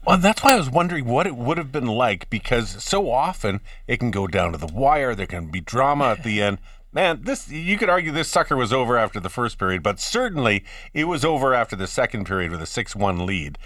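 A male host with a highly animated delivery, his pitch rising and falling freely. He rambles through colourful, slangy questions in chatty banter. The sound is dry, with little room around it. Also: fairly quick, dry recording, far from the mic. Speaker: radio show host. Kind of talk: casual, chatty interview banter.

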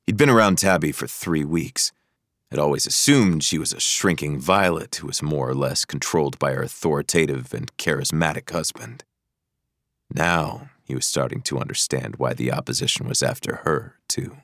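Low-pitched man narrating an audiobook thriller. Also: lively intonation, moderate pace, fairly bright tone, no room sound, studio quality.

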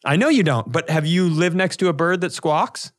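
A man's voice: a little high, animated, moderate pace. A man co-hosting a podcast in casual comedic banter, swearing freely and sounding mock-indignant. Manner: crude, profane, mock-indignant. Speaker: podcast co-host.

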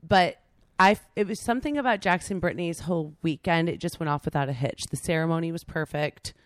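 A woman's voice: fairly low pitch, a little fast, dry recording. A woman, a podcast guest chatting in a house, gushing and rambling. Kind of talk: casual chat. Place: living room of a house.

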